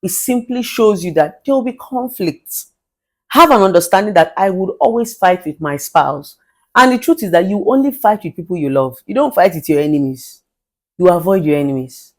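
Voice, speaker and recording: A woman's voice: fairly low pitch, animated, moderate pace. A middle-aged Nigerian woman, a relationship therapist, giving emphatic advice with an authoritative, commanding voice and bits of Pidgin. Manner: forceful, emphatic, mixes in Pidgin phrases. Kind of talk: motivational advice monologue.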